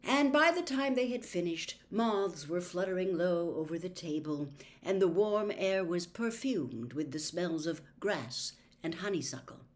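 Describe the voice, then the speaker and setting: A woman reading a story aloud in an expressive narrating style. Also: fairly low pitch, unhurried. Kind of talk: expressive audiobook-style narration with character voices.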